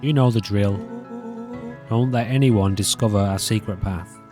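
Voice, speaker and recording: A man's voice: on the low side, normal speed, over music, lightly acted. A man voicing an eager, cheeky young boy in a cartoon story game.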